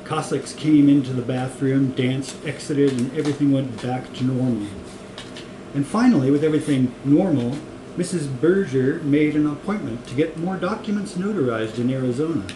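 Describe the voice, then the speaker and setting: A man reads a comic story aloud with a medium-pitched voice and an amused manner. The recording is echoey, with clear noise around him. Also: moderate pace. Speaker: reader of a comic novel. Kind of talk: story reading with acted dialogue.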